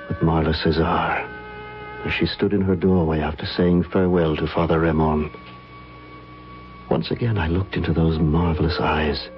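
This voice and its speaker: Headstrong, troubled leading man: lowish voice, sweeping intonation, dramatic vintage radio mystery style, muffled broadcast. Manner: intense, dramatic. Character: troubled, headstrong male guest haunted by a mystery. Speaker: lead actor and narrator. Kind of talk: old-time radio drama acting.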